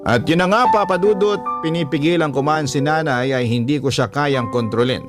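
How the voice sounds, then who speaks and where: A man narrating a listener's letter in steady, earnest first-person storytelling for a radio drama. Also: fairly high pitch, brisk, over music.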